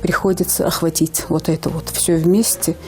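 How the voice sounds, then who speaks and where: A woman's voice: fairly low pitch, flat delivery, normal speed, warm tone. A woman in her fifties from Ingushetia, a language teacher speaking earnestly as a guest on a TV morning show, with hesitant fillers. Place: TV studio.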